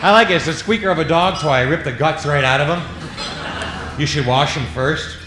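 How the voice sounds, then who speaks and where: Stand-up comic, male, slightly high voice, playful patter; booming room with audience noise behind. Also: normal speed. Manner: jokey, drops into silly voices and sound effects. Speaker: stand-up comedian. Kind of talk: stand-up comedy set. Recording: echoey club with audience noise.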